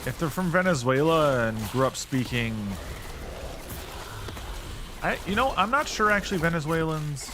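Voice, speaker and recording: Chatty male gamer, lively pitch. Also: normal speed, long pauses, background noise. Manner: rambling, thinking aloud, reacting to chat. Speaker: streamer playing a game. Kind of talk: casual gameplay commentary.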